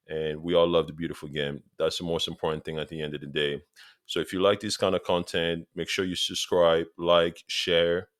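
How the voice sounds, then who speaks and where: A man's voice: deep voice, clean tone, no room sound, clean studio sound. A man in his thirties from Congo, with a Congolese accent, eagerly talking football straight to his viewers in a casual vlog and repeating points for emphasis. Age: 30s. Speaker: football vlogger.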